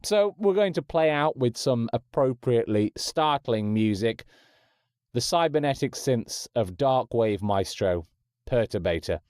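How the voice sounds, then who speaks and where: A man's voice: medium pitch, lively intonation, measured pace, a little mellow, clear, resonant tone, no echo. A man presenting a podcast from a script with wry, wordy, self-deprecating asides, close on the mic. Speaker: podcast host.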